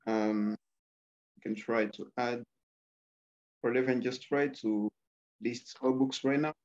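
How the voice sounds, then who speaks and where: A man's voice: medium pitch, darkish tone. A young man presenting a student coding project over a video call, hesitant and full of filler sounds. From Rwanda. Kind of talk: screen-share software demo. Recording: slightly dull lo-fi audio.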